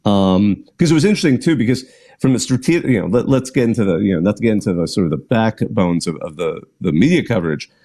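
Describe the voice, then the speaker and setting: Guy hosting a call-in show, warm dark voice, chatty and rambly. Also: on the low side, animated, fast talker. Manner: chatty, rambling with restarts and laughs. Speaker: podcast host. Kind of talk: casual call-in show chat.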